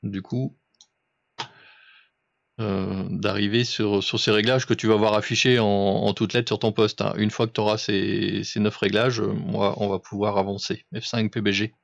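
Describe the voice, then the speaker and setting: A man's voice: fairly low pitch, flat delivery, normal speed, creaky, dry recording, highs cut off. A man giving casual, exacting step-by-step instructions as an amateur radio operator coaching someone. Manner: didactic, exacting, informal.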